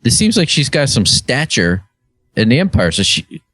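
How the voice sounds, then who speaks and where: A man's voice: medium pitch, big pitch swings, moderate pace, fairly bright tone, dry recording. A man co-hosting a podcast in casual, joking, informal chat. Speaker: podcast co-host.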